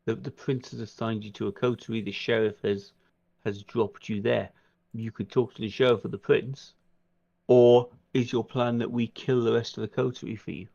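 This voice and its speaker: A man's voice: medium pitch, fairly even intonation, normal speed, creaky, warm tone, lo-fi sound. A man playing a tabletop roleplaying game, talking casually and improvising his side of an in-game conversation.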